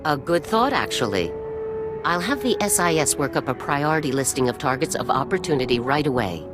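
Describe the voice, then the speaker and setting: Low-voiced, authoritative female general. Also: animated, music in the background. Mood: formal approval. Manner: measured, official. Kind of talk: video game cutscene acting.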